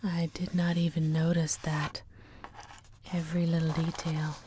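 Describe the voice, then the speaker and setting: A woman in her thirties doing ASMR in a slow, soothing whisper, close to the mic. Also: sits low, flat delivery, dark tone, a little hiss or hum. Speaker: ASMR video creator. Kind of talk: ASMR whispering, gentle makeup roleplay.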